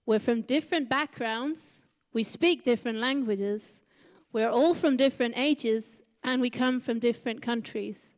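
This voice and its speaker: Higher-voiced woman in an echoing hall. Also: measured pace. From Uganda. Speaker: climate initiative spokeswoman. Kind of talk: prepared speech at press conference. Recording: echoey hall with long reverb.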